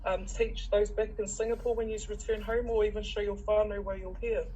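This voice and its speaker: Woman, New Zealand accent, mellow and warm tone, encouraging instructor, chatty with fillers, faint background noise. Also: mid-range voice, flat delivery, a little fast, low-quality recording. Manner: chatty, encouraging, many ums. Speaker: weaving facilitator and social worker. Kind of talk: friendly instruction in a group session.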